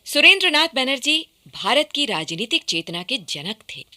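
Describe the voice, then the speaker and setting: A woman reading scripted radio documentary narration with formal, expressive enunciation. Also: high voice, moderate pace.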